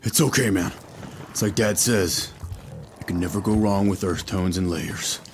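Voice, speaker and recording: A man playing a cocky, gruff demon-hunting brother from a TV show parody, with a macho, clipped delivery. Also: low-pitched, moderate pace, slight background noise, lightly acted. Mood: tender bravado. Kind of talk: parody of supernatural TV drama. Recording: music under the voice.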